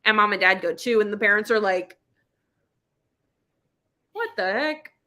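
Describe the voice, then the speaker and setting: A woman's voice: medium pitch, animated, measured pace, pushed and bright. A woman hosting a casual book chat livestream, with a playful, exclamatory, slangy way of talking. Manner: playful, exclamatory, slangy, spells out internet abbreviations. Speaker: book club live show host.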